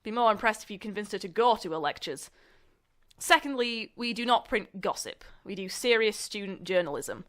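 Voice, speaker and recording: A woman's voice: mid-range voice, animated, moderate pace, a bit bright, natural voice. A young woman acting as a university student in a comedic audio drama. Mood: condescending. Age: young adult. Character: young female student journalists and theatre director sparring.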